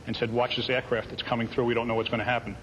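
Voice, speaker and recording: Fast-talking man in reverberant sound. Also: mid-range voice, monotone, fairly bright tone, muffled recording. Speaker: air traffic controller interviewee. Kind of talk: first-hand recollection in interview. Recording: long reverb, faint background noise.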